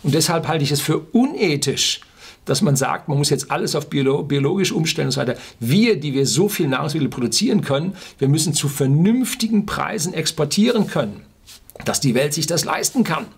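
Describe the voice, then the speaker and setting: Husky older man explaining emphatically. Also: a little high, lively intonation, brisk. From Ruhr area, Germany. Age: 60s. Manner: emphatic, rhetorical questions, clipped asides. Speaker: video blogger. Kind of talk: opinionated informal explainer monologue to camera. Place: small furnished room indoors.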